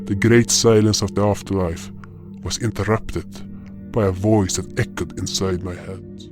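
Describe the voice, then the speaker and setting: Man as a low-voiced solemn guardian spirit. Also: close to an everyday voice. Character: solemn, dutiful male guardian spirit telling his tale. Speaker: narrator. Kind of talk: first-person scripted fantasy narration. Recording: guitar music under the voice.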